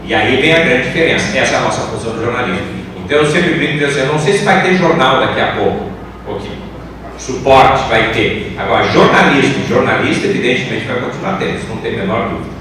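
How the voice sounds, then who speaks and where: A man's voice: medium pitch, animated, quick pace, fairly bright tone. Emphatic Brazilian man in a very echoey room. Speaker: journalism professor on a panel. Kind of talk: panel discussion answer. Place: large echoing room at a university event. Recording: very echoey room, distant mic, clear noise.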